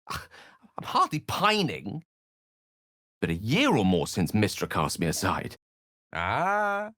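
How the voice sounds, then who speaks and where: An actor voicing a wry, bookish human wizard in a video game, speaking with a British accent in an articulate, theatrical and wordy manner. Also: male voice, medium pitch, sharp tone, no room sound, clean studio sound. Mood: sardonic.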